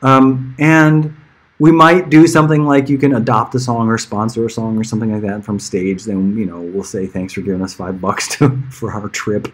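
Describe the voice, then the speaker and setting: A man's voice: fairly low pitch, level tone, normal speed, slightly rough. A middle-aged man chatting casually on a livestream in a rambling, informal way that drifts from one aside to the next. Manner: rambling, informal, easily sidetracked, chuckling now and then. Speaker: musician livestreaming to friends and fans.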